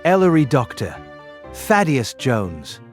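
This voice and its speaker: Animated gruff old police inspector. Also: male voice, medium pitch, slow pace, soft background music. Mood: hearty, teasing. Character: genial, irritable old police inspector, father of grown son. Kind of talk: audiobook drama acting.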